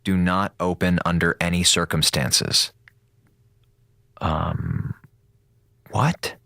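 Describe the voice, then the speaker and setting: An actor playing a wisecracking, easily distracted radio broadcaster on a space station, rambling casually and sarcastically in an audio drama. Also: male voice, sits low, fairly even intonation, slow pace, creaky, sharp tone, no room sound, full character voice. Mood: baffled. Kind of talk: audio drama, chatty monologue to listeners.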